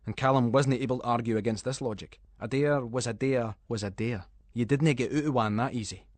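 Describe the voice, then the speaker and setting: A Scottish man narrating a ghost story in Scots dialect, with an expressive, suspenseful storytelling delivery. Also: mid-range voice, fairly quick, no room sound. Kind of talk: dramatic scripted narration of a ghost story for schools radio.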